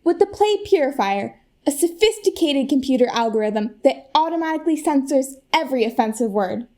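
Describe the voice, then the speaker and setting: A young American woman playing an earnest, polished corporate saleswoman giving an emphatic keynote pitch in a comic radio play. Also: expressive, brisk, dark tone. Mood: persuasive. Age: young adult. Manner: presentational, emphatic.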